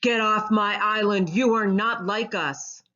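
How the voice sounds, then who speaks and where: Woman playing a loud, bossy bully crab. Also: mid-range voice, steady tone, a little slow, highs cut off. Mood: bullying, angry. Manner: bellowing, yelling, commanding.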